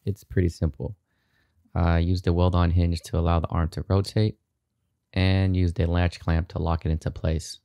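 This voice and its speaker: A man in his thirties narrates a DIY how-to casually. His voice is very deep and mellow, and the sound is dry and close. He explains things in an informal way. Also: flat delivery, moderate pace, dry recording. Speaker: DIY video host.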